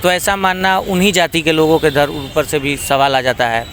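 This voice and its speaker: A man's voice: a little high, fairly even intonation, fairly quick, a bit bright. A man explaining his opinion at length in a street interview, outdoors. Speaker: member of the public being interviewed. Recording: noisy outdoor street with traffic.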